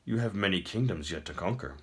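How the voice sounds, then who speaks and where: Imprisoned fantasy prince for audiobook male reader acting: low, weak, hushed, worn out yet stubbornly defiant. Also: expressive, a little fast. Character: defiant, exhausted captive prince, blinded and weakened.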